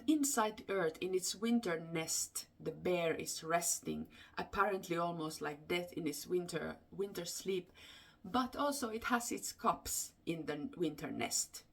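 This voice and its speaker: A woman with a Finnish accent, a native Finnish speaker, telling folklore unscripted in a halting way, searching for her words. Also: deep voice, creaky, husky. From Finland. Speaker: storyteller. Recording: distant microphone.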